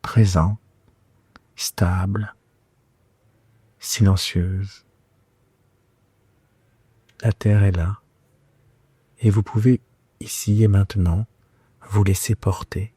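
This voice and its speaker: A man guiding a yoga nidra meditation for sleep in a soft, breathy, soothing and hushed voice, with unhurried phrasing and long pauses. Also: fairly low pitch, flat delivery, dry recording. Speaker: yoga nidra guide and podcast host. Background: soft plucked guitar music.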